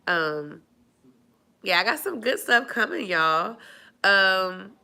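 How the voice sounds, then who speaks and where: A woman's voice: mid-range voice, big pitch swings, takes it slowly, vocal fry, sharp tone. A woman chatting casually on a live stream, nasal and stuffy from a cold, rambling with lots of fillers. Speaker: vlogger answering live chat.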